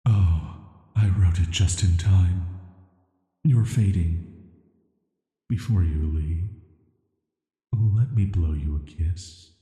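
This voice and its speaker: A man playing a banished, cursed villain in a sleep-aid audio drama, soft and hushed, with a flat, hesitant, measured delivery in atmospheric reverb. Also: low-pitched. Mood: tender, pleading. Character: banished cursed male villain, soft, flirty, secretly dangerous dream visitor. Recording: echoing, long reverb.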